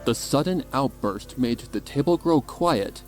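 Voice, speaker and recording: A man's voice: mid-range voice, animated, unhurried. A man reading scripted, dramatic story narration as the narrator. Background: music bed.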